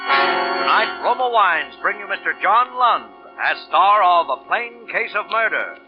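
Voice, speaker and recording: A man's voice: sits high, sing-song, unhurried. A man introducing a 1940s radio suspense program in a dramatic announcer's delivery. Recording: muffled old radio, loud music.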